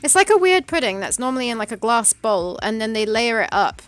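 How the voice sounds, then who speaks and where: A woman's voice: on the high side, very animated, fairly quick, fairly bright tone, soft background music. A woman streaming as a game developer, chatting casually and reading out viewer messages, with frequent laughter. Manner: chatty, laughs often, reads chat aloud. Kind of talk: casual chat on a live stream.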